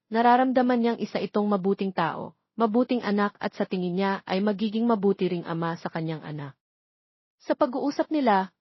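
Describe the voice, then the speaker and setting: A woman's voice: medium pitch, dry recording. Filipino woman narrating, dry and slightly muffled. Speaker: audiobook narrator. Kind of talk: scripted romance story narration with voiced dialogue.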